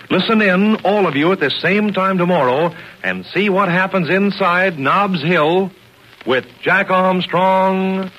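An American male announcer from an old radio broadcast. He delivers a folksy, enthusiastic pitch in a high, bright voice. The vintage recording carries faint noise. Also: poor audio.

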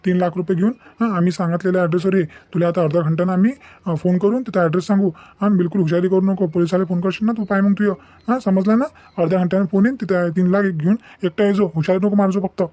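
A man's voice: sits high, steady tone, fast, warm tone, no room sound, close to an everyday voice. A man voicing a menacing, bullying cartoon kidnapper. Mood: threatening. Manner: bullying, taunting, threatening. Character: menacing village gangster kidnapper demanding ransom by phone. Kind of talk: Marathi cartoon comedy dialogue.

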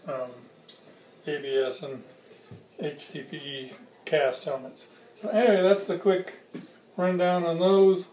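A man in his sixties with a fairly high-pitched voice talks through a casual hands-on review. He pauses between short, plain-spoken phrases. He is recorded a little away from the mic in an echoey kitchen, with faint noise. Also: darkish tone. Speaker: hobbyist video reviewer. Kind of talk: casual product comparison, unscripted. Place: home kitchen, indoors. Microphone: camera mic a few feet away. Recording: distant mic, roomy echo, faint noise.

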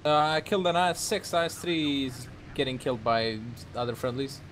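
A man's voice: mid-range voice, fairly quick, noisy background. A man in his twenties giving casual gameplay banter, with profane and urgent callouts to his teammate. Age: 20s. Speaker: gaming video creator.

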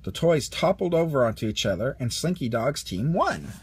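A man narrating a children's storybook as an expressive read-along. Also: medium pitch, moderate pace, a little hiss or hum. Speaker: storybook reader.

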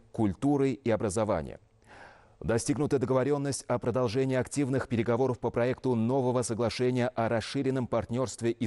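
A man in his thirties reading scripted news as an anchor in a formal, measured, official manner. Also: mid-range voice, warm tone. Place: television news studio.

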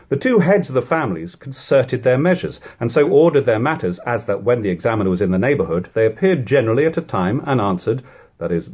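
A man reads an old literary narrative aloud in a measured, formal, dramatic way at home. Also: medium pitch, darkish tone, husky. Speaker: reader. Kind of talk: audiobook-style reading of an old literary narrative. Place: living room at home. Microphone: webcam or device camera at about arm's length.

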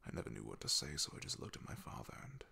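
A man plays a loyal, bashful knight in a fantasy ASMR roleplay. His voice is very low and heavily gravelled, with a very breathy, husky grain. He speaks softly and haltingly, awkward but devoted. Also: huge pitch swings, exaggerated voice. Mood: wistful, reflective. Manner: hesitant, halting, soft-spoken. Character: loyal young male knight, shy, awkward, devoted, soft-spoken.

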